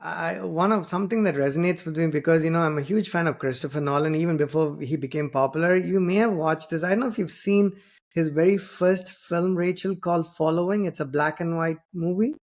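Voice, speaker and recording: Host role: man from southern India, Tamil first language, chatty interviewer who restarts often, slightly high voice. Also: fairly even intonation, fairly quick, darkish tone. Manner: rambling, many fillers and restarts. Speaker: podcast host. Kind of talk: conversational interview.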